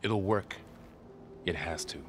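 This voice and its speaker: An actor playing a steady, loyal and gently teasing young male companion in a video game. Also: deep voice, takes it slowly, a little hiss or hum. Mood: wry, steadfast. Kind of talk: video game dialogue acting. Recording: faint music behind the voice.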